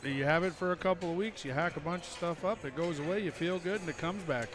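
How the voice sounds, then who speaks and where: A man with a cold, sounding a little froggy, giving color commentary on a local basketball game with halting, repeated words. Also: fairly high pitch. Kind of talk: local sports broadcast.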